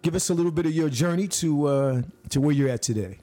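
A man from the United States hosting a podcast interview, halting and jokey, stumbling through many restarts and fillers. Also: on the high side, dark tone. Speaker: podcast host. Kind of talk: casual interview.

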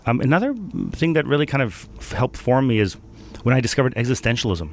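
A man from Denmark, a guest in an interview, answering thoughtfully with many pauses and fillers. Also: mid-range voice, lively intonation.

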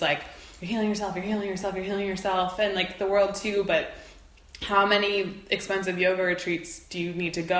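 A woman's voice: on the low side, pushed and bright. A woman speaking as an interview guest, earnest and informal, thinking aloud in casual, reflective conversation. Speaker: interview guest, folk practitioner. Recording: roomy echo, faint background noise.

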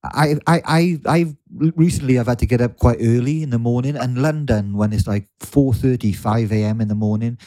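A mellow-voiced Welshman hosting a laid-back podcast, the sort you would hear chatting with guests over headphones. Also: medium pitch, moderate pace, few pauses. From Wales. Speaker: podcast host. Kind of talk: casual chatty interview.

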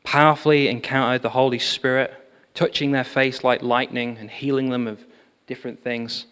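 A man giving a sermon, telling revival history in an animated storytelling manner with frequent fillers. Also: mid-range voice, normal speed, clear, resonant tone. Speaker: preacher. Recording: long hall reverb.

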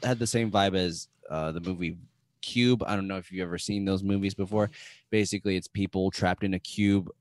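A man's voice: low-pitched, no room sound. Man from Texas, podcast co-host, crude joker, dry close-miked sound. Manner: joking, crude, laughs often. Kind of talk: casual movie podcast talk.

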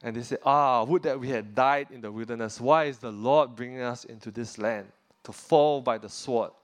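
A man from Singapore preaches, his voice sitting at a middle pitch. He talks earnestly and keeps checking in with his listeners. On heavy points he sinks lower and softer. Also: moderate pace. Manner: drops pitch and volume on weighty points. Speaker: pastor. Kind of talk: sermon.

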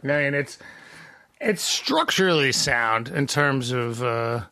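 Gravelly, bright-voiced man rambling. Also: medium pitch, lively intonation, takes it slowly, no echo. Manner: rambling, lots of "like" and restarts. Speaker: podcast guest, songwriter. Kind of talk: casual podcast chat.

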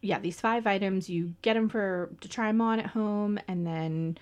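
A woman's voice: mid-range voice, normal speed. A woman co-hosting a podcast, chatting casually and explaining things in detail, with small restarts. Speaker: podcast co-host. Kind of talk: casual chat.

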